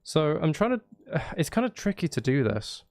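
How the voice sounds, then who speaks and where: Man thinking aloud, fry in his voice. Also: fairly high pitch, very animated, moderate pace, background noise. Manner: hesitant, self-interrupting, thinking aloud. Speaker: streamer making music live. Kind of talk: casual livestream chat while recording drums. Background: drum kit and music.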